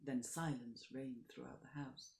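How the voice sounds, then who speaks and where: A woman actor reading a classic short story aloud in measured narration, often sinking to a soft near-whisper. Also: rumbling low, little pitch movement, creaky, roomy sound. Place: home during lockdown.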